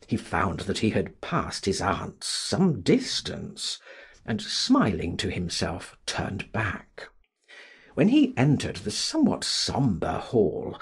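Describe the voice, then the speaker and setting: A man reading a literary audiobook as a dramatic narrator, in a theatrical, expressive and deliberate manner. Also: sits low, husky.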